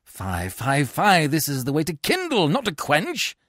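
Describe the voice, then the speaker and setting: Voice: medium pitch, wildly swooping pitch, unhurried, fairly bright tone, no echo, clean studio sound, voice pushed up, exaggerated voice. A reader voicing an agitated Roman patrician peacemaker who implores, in a theatrical Shakespeare read-aloud. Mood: scolding.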